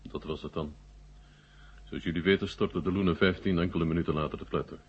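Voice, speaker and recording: A dull vintage radio recording carries a very deep male voice. a proud, commanding Soviet marshal with a husky edge. He speaks formally and with measured authority, all business. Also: steady tone. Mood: grave, businesslike. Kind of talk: 1970 Dutch radio drama acting.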